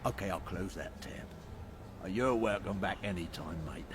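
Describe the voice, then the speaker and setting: A man's voice: deep voice, normal speed, noisy background, natural voice. A man playing a shifty, brash space barkeeper who wheedles and bargains in a video game. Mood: surprised, relieved.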